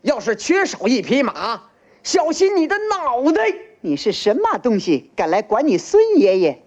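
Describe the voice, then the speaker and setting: A performer in an old animated film voicing a scornful, high-pitched mythical monkey-world character with theatrical defiance. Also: normal speed, a little hiss or hum. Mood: scornful, defiant. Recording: muffled old film soundtrack.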